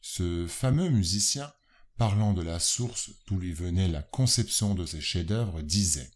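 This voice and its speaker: A man reading scripted educational narration in a formal, measured, literary manner. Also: low-pitched, animated. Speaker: narrator of a spiritist study guide.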